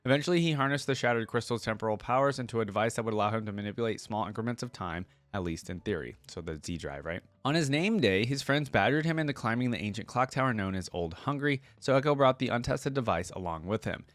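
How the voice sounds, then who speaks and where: Male reaction-video host, medium pitch, conversational, moving between read-aloud and asides, dry recording. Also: fast, few pauses, fairly bright tone, no room sound. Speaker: YouTube host reacting to game lore. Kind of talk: casual reaction commentary mixed with reading aloud.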